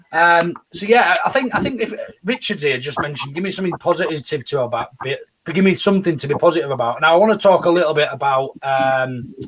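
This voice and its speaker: Male podcast host, fairly high voice, faint hiss behind, chatty. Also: fairly bright tone, rough recording. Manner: chatty, hands questions to guests. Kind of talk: casual chat.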